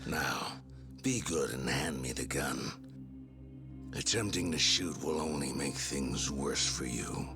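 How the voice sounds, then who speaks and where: A man's voice: rumbling low, expressive, slow pace, music in the background, full character voice. A man playing a serene yet menacing, sanctimonious cult sage in a video game, formal and pompous. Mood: calm command. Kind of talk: dramatic video game villain acting.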